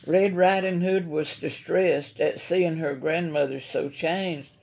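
A woman's voice: low-pitched, steady tone, takes it slowly, creaky, a little mellow, slightly rough. A woman with a Southern American accent reading a children's story aloud as narration. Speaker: library story reader. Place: library room, indoors at a table.

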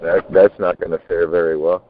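A man's voice: low voice, flat delivery, fairly quick, slight background noise. A man talking casually and bluntly on a group conference call over the phone. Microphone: telephone. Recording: muffled tinny phone conference line.

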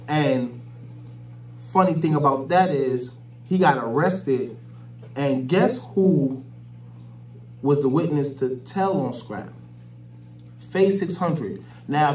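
A man's voice: mid-range voice, darkish tone, slight background noise. A young American man giving slangy, informal gossip commentary to camera, peppered with conversational tags. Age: young adult. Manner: slangy, frequent "you know what I'm saying" tags. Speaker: YouTube commentator. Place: small bare room indoors. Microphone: desk condenser mic with pop filter. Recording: roomy echo, distant from mic.

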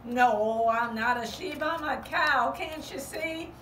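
A wistful little cow played by a woman talks in a slow, plaintive drawl, mooing softly between her sad words. Her voice sits a little lower than the performer's own, yet still fairly high. It is picked up in a roomy space from some distance away, with a faint hum behind it. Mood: puzzled. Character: lonely young cow toy, wistful.

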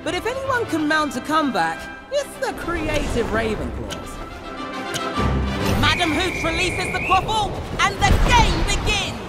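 A man voicing an excitable teenage boy who commentates on a fantasy broom sport in a video game. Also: extremely high pitch, measured pace, heavily acted. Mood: eager, announcing. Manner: excited, exclamatory, cheering on the play. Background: loud game music.

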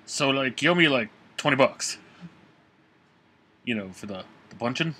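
A man playing a nervous, excitable, down-on-his-luck puppet in an improvised comedy puppet show, with a rambling, stammering, raspy delivery. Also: mid-range voice, normal speed. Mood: wary, haggling.

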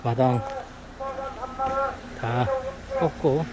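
A man's voice: mid-range voice, drawn-out words, heavy background noise. A man in his thirties filming himself with a phone outdoors, narrating a casual, upbeat vlog straight to the camera. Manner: upbeat, chatty, talks to the camera. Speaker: vlogger. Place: outdoors in an overgrown yard. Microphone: phone at arm's length.

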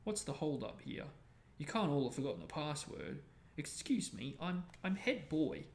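A man's voice: mid-range voice, expressive, a little slow, warm tone, lightly acted. A man voicing a self-important teenage head boy from a storybook, bustling and officious.

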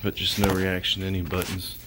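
A man's voice: low-pitched, monotone, fairly quick. An American man giving casual, folksy vlog commentary as the host of his own video. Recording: clear background noise.

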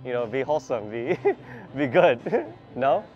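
A man's voice: mid-range voice, animated, measured pace. Hesitant young man chatting in a mall store. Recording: slightly dull audio with faint music.

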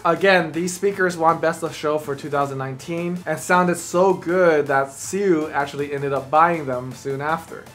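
A man's voice: on the high side, fairly even intonation, normal speed, few pauses, a bit bright. A man in his forties presenting a scripted review to camera with an earnest, enthusiastic manner. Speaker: audio reviewer and video host. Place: small dark indoor studio, seated. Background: background music.

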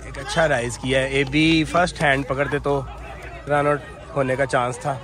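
A man's voice: medium pitch, flat delivery, normal speed. A man with a mid-range voice calls each ball of an amateur cricket match in a matter-of-fact way. He talks over the noise of an outdoor floodlit ground, with players and crowd chatter behind him. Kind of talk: live ball-by-ball commentary.

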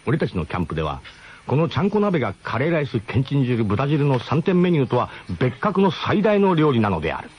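A man's voice: medium pitch, very animated, fast talker, darkish tone, a little hiss or hum. A man narrating a radio drama as a first-person comic story, in a mock-grand, theatrical way.

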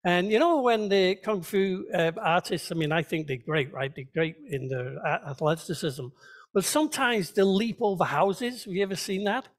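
High-pitched male pastor preaching. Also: normal speed. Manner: conversational teaching with rhetorical check questions. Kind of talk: sermon. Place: church, speaking to a congregation.

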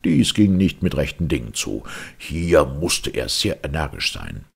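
Very deep, gravelly man telling fairy tales. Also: a little slow. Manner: theatrical, expressive. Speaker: audiobook reader. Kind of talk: animated fairy-tale storytelling.

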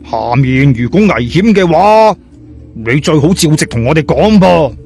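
A man's voice: a little high, lively intonation, unhurried, gravelly, a little mellow, breathy edge, soft background music, exaggerated voice. A man voicing a cocky, scoffing tough guy in an audiobook, boastful and sneering. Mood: sceptical. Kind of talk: audiobook character dialogue.

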